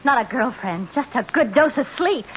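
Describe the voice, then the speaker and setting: A brash young hitchhiker, slangy and blunt, with a bright, lively voice that swings up and down. The sound is a muffled 1940s radio broadcast with a faint hiss behind it. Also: female voice, high voice, moderate pace. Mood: defiant. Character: brash young female hitchhiker, wisecracking and streetwise. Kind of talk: 1940s radio drama acting.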